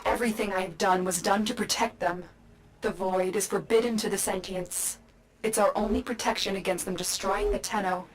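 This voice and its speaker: An actress voicing a composed, protective mission guide who gives firm instructions. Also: female voice, medium pitch, fairly even intonation, moderate pace, lightly acted, low-quality recording. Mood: urgent. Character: calm, commanding female mission guide, protective.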